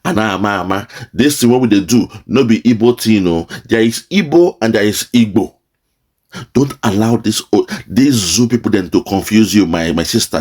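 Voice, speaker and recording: Man, Nigerian accent, animated rising and falling voice, chatty commentary in Pidgin and English. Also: fairly low pitch. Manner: lively, mixes Nigerian Pidgin and English, laughs often. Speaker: online show host reacting to a clip. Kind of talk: casual commentary.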